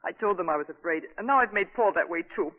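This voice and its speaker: A woman's voice: on the low side, expressive, fast talker. A woman acting an anxious, earnest, contrite wartime refugee wife in a 1940s radio drama. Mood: anxious, ashamed. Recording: tinny reverberant 1940s radio recording.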